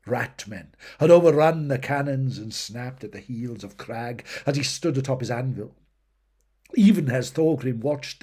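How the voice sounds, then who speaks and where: A man's voice: medium pitch, darkish tone, slightly rough. A man reading fantasy fiction aloud as dramatic scripted narration, with a theatrical, emphatic delivery that rises and falls in intensity. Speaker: audiobook reader of fantasy fiction.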